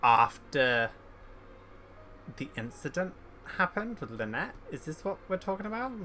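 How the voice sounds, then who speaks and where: A man's voice: on the high side, very animated, a little slow, pushed and bright, slight background noise. A man giving casual, rambling commentary as a game streamer, thinking aloud and opinionated.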